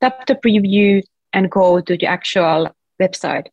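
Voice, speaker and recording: A woman's voice: medium pitch, animated, a little slow, darkish tone, slightly rough, no echo, dull audio. A woman from Finland with a Finnish accent, a guest on an interview show, explaining her app in a friendly, chatty way. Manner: explanatory, friendly, uses "like" as filler. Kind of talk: casual interview chat.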